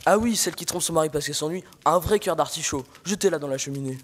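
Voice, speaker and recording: A man's voice: on the high side, fast, fairly bright tone. A teenage man playing a pompous, scornful old village priest who grumbles and declaims. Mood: condescending. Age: teenager, high-school student. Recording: reverberant room, noisy background.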